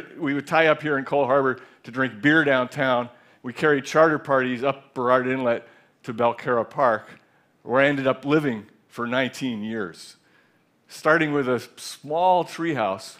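A man in his sixties telling a measured, reflective story in a talk on a large theater stage, with a headset mic. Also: mid-range voice, a bit bright. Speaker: speaker. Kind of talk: conference talk.

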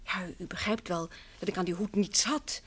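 A woman's voice: lowish voice, moderate pace, a little hiss or hum, natural voice. An actress playing a distraught, earnest wife in a vintage radio play, emphatic and sometimes hesitant as she insists. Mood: earnest, explaining. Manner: earnest, emphatic, hesitant and repeating herself when upset. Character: distraught, insistent wife convinced her missing husband lives.

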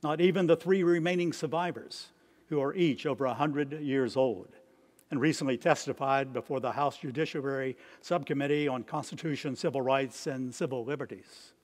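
A man in his seventies preaching a sermon from notes as a priest, in measured, deliberate phrases with pauses. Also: mid-range voice. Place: stone church, at a lectern.

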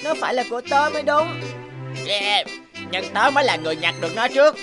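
An excitable young schoolboy from a cartoon, quick to argue. The voice is childlike and pitched a little high even for a woman. Lines come out emphatic and dramatic over clear background music. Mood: indignant. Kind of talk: animated cartoon dubbing.

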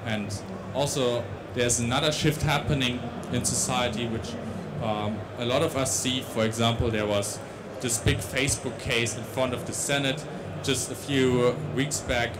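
Young dutch guy presenting at a conference, stops and restarts a lot, mid pitch, loud hiss behind. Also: fairly even intonation, a little slow, hardly any pauses. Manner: hesitant, many restarts and fillers. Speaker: conference speaker. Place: large conference stage. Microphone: handheld mic.

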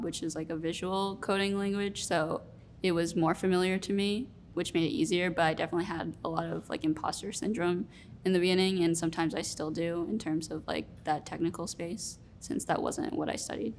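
A young woman in her twenties sits for an interview, her voice fairly low. She talks in a relaxed, reflective way and often pauses on fillers. A faint rumble runs under the recording. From Rhode Island, US. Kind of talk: sit-down interview. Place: studio room with plants.

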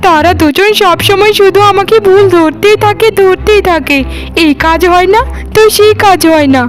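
A woman's voice: very high voice, moderate pace, faint music behind. A woman voicing an exhausted, self-pitying, overworked young wife in a cartoon, plaintive and pleading. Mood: lamenting. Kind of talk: cartoon voice acting.

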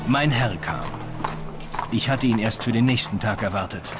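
A man playing a dignified medieval knight, recounting his travels in measured, formal, old-fashioned words. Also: on the low side, natural voice. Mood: measured recollection. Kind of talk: first-person dramatised narration in a documentary. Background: music.

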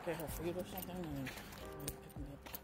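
A young woman vlogging casually while walking outdoors, talking softly in a halting, rambling way with many fillers, filmed on a phone at arm's length. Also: low voice, steady tone, over loud music. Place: outdoors on streets and sidewalks. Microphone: phone held at arm's length. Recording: loud street and traffic noise.

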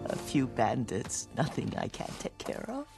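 A woman playing an elderly, loyal household retainer in a dramatic video game, with a soft, tender and deferential way of speaking. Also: extremely low pitch, big pitch swings, a little slow, gravelly, music in the background, heavily acted. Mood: caring. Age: older.

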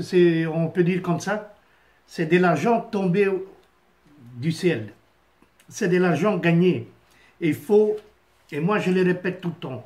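A man in his fifties with an Italian accent, chatting haltingly to camera from a small home office, with many fillers and restarts. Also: fairly high pitch, a little mellow. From Italy. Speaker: vlogger. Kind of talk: casual rambling monologue to camera. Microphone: phone camera on a stand at desk.

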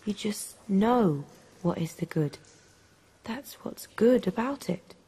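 An actress playing an indignant young philosophy student in a radio drama, blunt, earnest and plain-spoken. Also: female voice, medium pitch, lively intonation, slow, warm tone, breathy edge, full character voice, poor audio.

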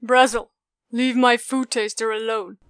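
A woman's voice: fairly high pitch, level tone, measured pace, clean tone, no room sound, studio quality, lightly acted. A woman voicing a cool, authoritative military commander in an audiobook, clipped and without emotion. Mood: cool, commanding. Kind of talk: audiobook character dialogue.

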